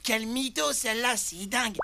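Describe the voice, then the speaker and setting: Casting: goofy growing boy, gravelly, slightly high, cocky cartoon delivery with loud music. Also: moderate pace, noisy background. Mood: cocky, teasing. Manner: cocky, slangy. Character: cheeky, gluttonous growing boy, boastful and goofy. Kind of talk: French cartoon dubbing.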